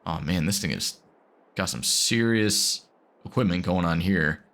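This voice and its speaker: A man's voice: deep voice, measured pace, gravelly, fairly bright tone. A man getting over a cold, chatting casually while playing a farming game, rambling with lots of fillers. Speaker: gaming video host. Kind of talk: casual gameplay commentary.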